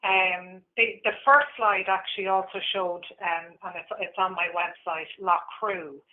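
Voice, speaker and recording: A woman's voice: medium pitch, steady tone, a little slow. An Irish woman in her forties presenting her tour business, with frequent ums and restarts. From Ireland. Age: 40s. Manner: warm, hesitant with frequent ums. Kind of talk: webinar presentation. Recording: tinny phone-like line.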